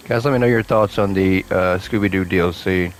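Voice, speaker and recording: A man giving casual gameplay commentary, thinking aloud to himself as he plays, his voice slightly hoarse. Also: sits low, a little fast, faint music behind. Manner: relaxed thinking aloud, muttering to himself while playing. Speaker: gaming host playing a renovation game.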